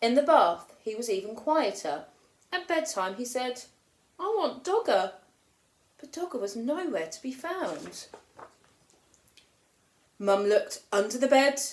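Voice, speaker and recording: Casting: female teacher, storybook read-aloud, a little high-pitched, animated delivery, recorded in an echoey room. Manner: expressive storytelling, lifting her voice for children's lines. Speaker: primary school teacher. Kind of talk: reading a picture book aloud. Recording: roomy echo.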